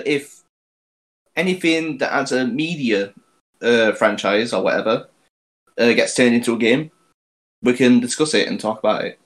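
A man hosts a casual podcast and steers the topic. He talks in a chatty, loose way and laughs often, using plenty of fillers. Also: medium pitch. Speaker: podcast host leading the topic.

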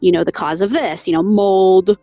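A woman's voice: mid-range voice, fairly even intonation, moderate pace, mellow, no room sound. A woman co-hosting a podcast, reading from an article in a casual, chatty way, laughing often and stumbling over names. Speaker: podcast co-host.

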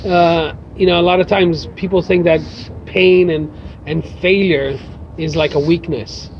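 A man's voice: a little high, steady tone, unhurried. A man answering interview questions reflectively, filling his thoughts with "you know" and "uh". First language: English. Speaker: guest writer. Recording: muffled with faint background noise.

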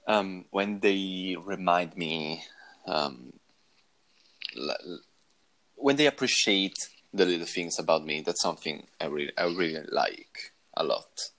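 Deep-voiced young man, halting. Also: expressive, a bit bright, rough recording. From Italy. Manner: hesitant, halting, laughs often. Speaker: guest. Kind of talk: casual Q&A chat.

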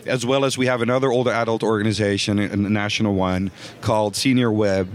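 A man's voice: on the low side, steady tone, fairly quick. A man from the Netherlands with a Dutch accent, a guest on a podcast interview, talking earnestly with many fillers and restarts. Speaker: guest, voice technology consultant.

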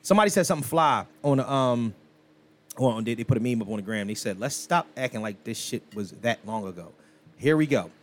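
Animated, lively-voiced man in his thirties. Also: medium pitch, fairly quick. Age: 30s. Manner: animated, loud, swears freely, laughs often. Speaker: podcast co-host. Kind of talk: casual profane chat.

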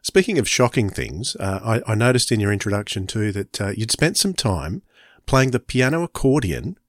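A man's voice: on the low side, expressive, normal speed, no echo, studio quality. A man, speaking casually as the host of an interview. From Australia.

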